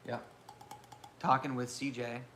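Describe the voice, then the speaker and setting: Loud-voiced man chatting casually. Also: mid-range voice, level tone, a little slow. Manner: loud voice, rambling and self-mocking. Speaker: channel host. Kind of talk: casual live Q&A chat.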